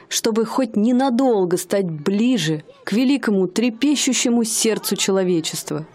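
A woman's voice: mid-range voice, a little mellow, lightly acted. An actress playing a young woman who poses as a bored rich lady in a radio play, speaking in a haughty, mannered way. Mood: wistful. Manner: haughty, mannered, languid speech.

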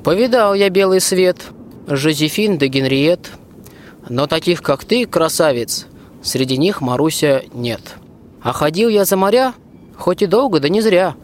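A hearty, bold soldier husband played by a young man declaims folk verse for a radio play. The voice sits fairly high, over faint background noise. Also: animated, a little slow. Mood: tender, proud. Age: young adult. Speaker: school student actor.

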